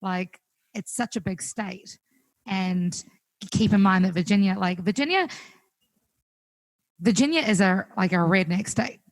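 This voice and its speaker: A young woman with a New Zealand accent, chatting casually as a guest musician, full of fillers and quick laughs. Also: medium pitch, dry recording. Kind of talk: casual chat on a call. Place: home, recorded remotely.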